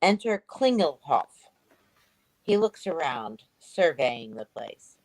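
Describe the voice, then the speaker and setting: A woman's voice: sits low, sing-song, slow, a little mellow, no echo, low-quality recording. A woman giving scripted narration, reading the stage directions of a play.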